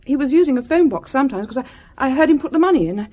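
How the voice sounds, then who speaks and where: An actress playing a prim, anxious, conscientious British secretary in a radio drama, formal and earnest. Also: female voice, high-pitched, animated, racing, warm tone.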